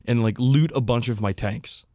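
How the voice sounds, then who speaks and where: A man's voice: mid-range voice, normal speed, warm tone, no echo. Guy hosting a casual hobby podcast, mid-range voice, dry sound, pretty enthusiastic. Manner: enthusiastic, informal, conversational. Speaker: podcast host.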